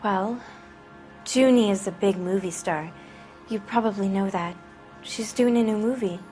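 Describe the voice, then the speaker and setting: A woman's voice: medium pitch, a little slow, a little mellow, faint music behind, lightly acted. A woman voicing a naive, frightened teenage girl in a video game, hesitant and plaintive. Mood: hesitant, fearful.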